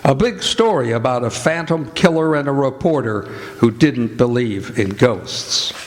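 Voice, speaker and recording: A man doing formal, deliberate scripted announcing in old-time radio style. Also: medium pitch, expressive, very noisy.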